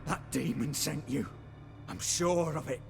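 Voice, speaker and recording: An actor playing a frightened, testy old scholar of the occult in a video game, erudite and suspicious. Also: male voice, fairly high pitch, measured pace, gravelly, over music. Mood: alarmed, suspicious. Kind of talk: video game drama acting.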